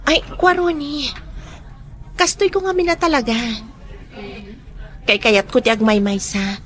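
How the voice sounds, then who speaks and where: A woman acting a lonely, modest young office worker who speaks softly and shyly in a radio drama. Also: high-pitched, expressive, measured pace. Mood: gentle.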